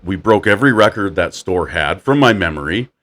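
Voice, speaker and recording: Brief: deep, low-pitched male host, lively and expressive storyteller. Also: brisk. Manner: animated storyteller, acts out quotes in a higher voice. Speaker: podcast host. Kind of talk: casual chat.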